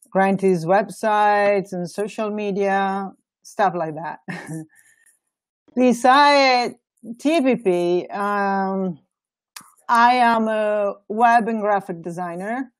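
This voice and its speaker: A woman's voice: medium pitch, no room sound. A woman from Italy with an Italian accent, informally introducing herself on an online call, searching for words. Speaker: web and graphic designer on a nonprofit team.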